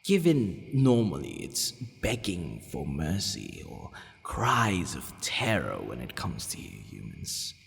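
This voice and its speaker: A man acting a haughty but softening vampire lord in an ASMR audio roleplay, brooding, formal and given to hesitant sighing. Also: low voice, wildly swooping pitch, takes it slowly, gravelly, heavily acted. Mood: flustered, softening. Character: possessive male vampire lord, haughty yet secretly softening. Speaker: roleplay voice actor. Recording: long reverb, faint background noise.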